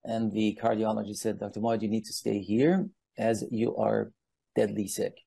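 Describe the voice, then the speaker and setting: Deep-voiced, gravelly man telling his story. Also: fairly even intonation, normal speed, mellow, no echo. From Iran. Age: 50s. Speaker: guest speaker on palm leaf reading. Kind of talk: personal storytelling and explanation.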